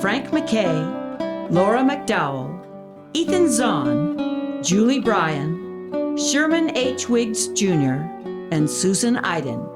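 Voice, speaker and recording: Slow, expressive woman announcer over guitar music. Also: mid-range voice. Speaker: radio play announcer. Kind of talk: scripted closing credits announcement. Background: prominent plucked guitar music.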